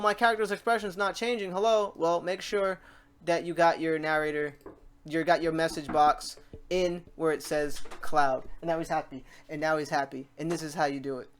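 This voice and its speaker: Casual, playful woman walking through software. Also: fairly low pitch, fairly even intonation, normal speed, slight background noise. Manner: informal, playful, talks through each step aloud. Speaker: tutorial host. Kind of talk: casual screen-recorded software tutorial.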